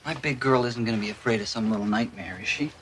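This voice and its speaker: A middle-aged man playing a gentle, playful father in a naturalistic TV drama, tender and coaxing. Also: mid-range voice, quick pace, a little hiss or hum, lightly acted. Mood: soothing. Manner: tender, coaxing, often hushed. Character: gentle, playful father soothing his frightened child.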